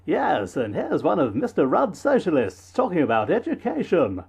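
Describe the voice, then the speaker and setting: A man voicing a pompous, chirpy 1940s newsreel announcer, brisk, declamatory and jovially condescending, in a patriotic propaganda parody. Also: fairly high pitch, huge pitch swings, gravelly, darkish tone, husky, heavily acted. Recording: dull, band-limited vintage newsreel sound.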